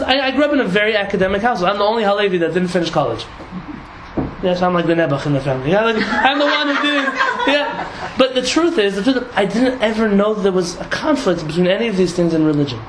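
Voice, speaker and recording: A young man from San Diego, a rabbi talking casually to a group, lively and laughing with many restarts and asides. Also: sits high. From San Diego, United States. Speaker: rabbi teaching a class. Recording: echoey room, audible noise.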